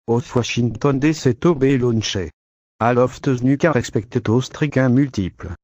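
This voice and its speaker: A man's voice: medium pitch, darkish tone, no echo. Dull-sounding synthetic male alert reader. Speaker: emergency alert announcer. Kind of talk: scripted emergency broadcast narration.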